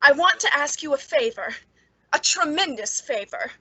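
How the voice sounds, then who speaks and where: A woman's voice: sits high, animated, moderate pace, pushed and bright, dry recording, rough recording. An actress playing a nervous, secretly bitter woman with a hesitant, pleading manner in an old-time radio suspense drama. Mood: urgent, pleading.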